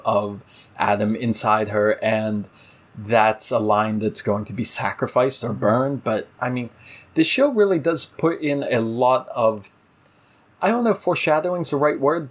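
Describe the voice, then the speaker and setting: A man with a medium-pitched voice talks as co-host of a casual TV discussion podcast. He thinks aloud, hedging and halting as he works through his opinions. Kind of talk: casual TV episode review discussion.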